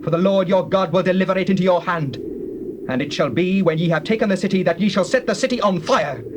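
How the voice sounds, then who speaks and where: An actor playing a grave leader of ancient Israel, declamatory and authoritative, in a dramatized scripture reading. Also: male voice, high voice, quick pace, close to an everyday voice. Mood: commanding. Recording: noisy old cassette tape.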